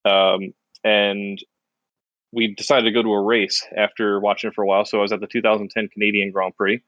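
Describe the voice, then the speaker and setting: A man's voice: fairly low pitch, level tone, fairly quick, no room sound, highs cut off. A middle-aged American man co-hosting a podcast, telling stories in a rambling, self-mocking casual chat. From United States. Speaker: podcast co-host.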